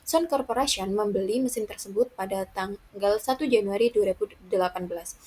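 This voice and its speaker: A woman's voice: mid-range voice, brisk, dark tone, breathy edge. A young woman, reading out a student presentation in a formal, methodical way. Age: young adult. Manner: formal, methodical read-out delivery. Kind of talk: reading out a worked accounting example.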